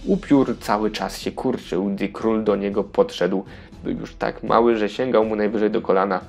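A man reads a children's tale as narrator. His voice is on the low side and gravelly, over faint background music. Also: steady tone, moderate pace, darkish tone. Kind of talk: scripted children's storybook narration.

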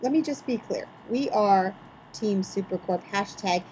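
A woman's voice: medium pitch, a little slow, mellow, a little hiss or hum, rough recording. A woman co-hosting a podcast, running segments and reading a recap in a mock-dramatic, theatrical way. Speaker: podcast co-host.

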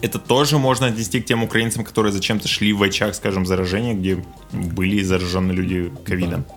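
A young man co-hosting a casual news podcast, telling things in an informal, slangy and animated way. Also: low voice, quick pace, soft background music. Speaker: podcast co-host. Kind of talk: casual chat about news.

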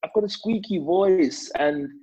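A man speaking reflectively on a panel, repeating words haltingly as he thinks aloud, with a husky voice. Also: high voice, dark tone. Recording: dull lo-fi recording.

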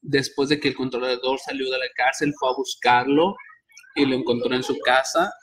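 A man's voice: mid-range voice, fairly even intonation, normal speed, a bit bright, a little hiss or hum. A man hosting a podcast, telling stories animatedly with many restarts. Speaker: podcast host. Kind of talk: casual interview chat.

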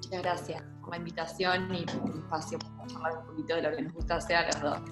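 A woman's voice: low-pitched, little pitch movement, fast talker, over loud music. Argentine woman in an echoey room. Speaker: podcast guest, urban farming project co-founder. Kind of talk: casual conversational interview. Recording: roomy, echoing sound.

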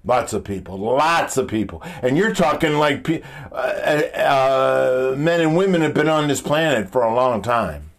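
A man with a deep, powerful voice giving an informal motivational talk in an emphatic, halting, blunt way, often repeating himself. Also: lively intonation. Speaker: motivational speaker. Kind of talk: informal motivational monologue with rhetorical questions.

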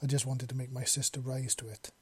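A man's voice: medium pitch, little pitch movement, fairly quick, a little mellow, breathy edge. Man playing a big teasing alien beast. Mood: soft, apologetic. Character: big alien clawed male, teasing, playful brother.

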